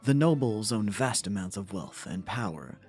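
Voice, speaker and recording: A man playing a solemn storyteller, reading scripted fantasy narration for a fan dub in a measured, dramatic manner. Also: lowish voice, lively intonation, a little mellow, slightly rough, soft background music. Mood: grave.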